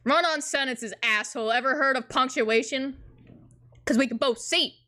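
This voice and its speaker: Hoarse woman doing a deadpan cool teen boy. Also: high voice. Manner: rapid, rambling deadpan monologue.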